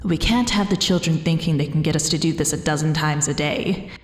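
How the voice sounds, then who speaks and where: A woman's voice: low-pitched, brisk, echoey room, big-room sound, natural voice. A woman voicing a stern, grumpy moon animatronic who grouses in a terse way.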